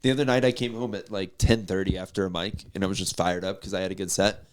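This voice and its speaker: A man's voice: deep voice, fairly even intonation, quick pace. A man co-hosting a comedy podcast, laid-back and saying "dude" a lot. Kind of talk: casual podcast chat.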